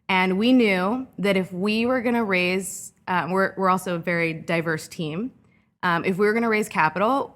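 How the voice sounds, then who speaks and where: A woman in her thirties from California, a startup founder speaking as a guest in a podcast interview, reflective and animated in her anecdotes, with frequent fillers. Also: lowish voice. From California, Orange County. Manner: reflective, lively when telling anecdotes, frequent "um" and "like". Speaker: guest entrepreneur.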